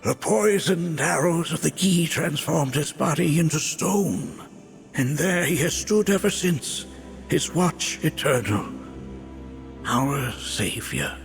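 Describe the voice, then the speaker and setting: Grave old sage, slow and creaky. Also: male voice, on the high side, animated, heavily acted. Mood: solemn. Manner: formal, archaic, theatrical, chuckling sage. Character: wise old village elder, grave, gentle mentor. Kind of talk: video game cutscene voice acting. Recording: loud music behind the voice.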